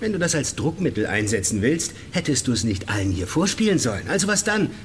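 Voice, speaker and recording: An actor in a radio crime drama playing a stubborn, weary ex-agent with a dry, blunt, sardonic way of talking. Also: male voice, mid-range voice, sing-song, fast talker, slight background noise, lightly acted. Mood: impatient, firm.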